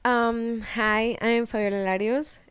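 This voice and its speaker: Slow, smooth-voiced woman from Mexico. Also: on the high side, steady tone, no echo. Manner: hesitant, with many "um" fillers. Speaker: guest artist. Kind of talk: casual interview. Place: living room.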